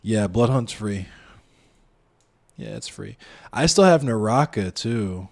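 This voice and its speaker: A man's voice: fairly low pitch, expressive, slow, creaky. A man streaming a video game, chatting casually and rambling to his viewers and a friend. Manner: laid-back, rambling, talks to chat and a friend. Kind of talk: casual gaming stream chat.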